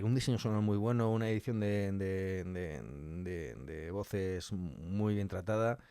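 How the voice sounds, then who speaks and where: A man's voice: on the low side, a little mellow, dry recording, clean studio sound. A man with a Spanish accent from Spain chatting casually and colloquially as a podcast guest, often repeating phrases for emphasis. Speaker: interview guest, audio fiction scriptwriter.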